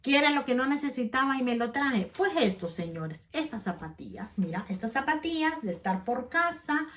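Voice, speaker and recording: A woman's voice: high voice, big pitch swings, moderate pace, fairly bright tone. A middle-aged woman, chatting casually to the camera for her vlog, rambling and often restarting her sentences as she talks straight to her viewers. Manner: rambling, chatty, self-correcting; addresses viewers directly. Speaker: vlogger.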